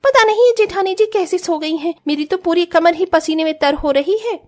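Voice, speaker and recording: Woman playing a high-pitched spoiled young daughter-in-law. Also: normal speed, darkish tone. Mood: whiny complaining. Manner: whiny, complaining. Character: spoiled, haughty young rich daughter-in-law, whiny and defiant. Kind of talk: cartoon family drama acting.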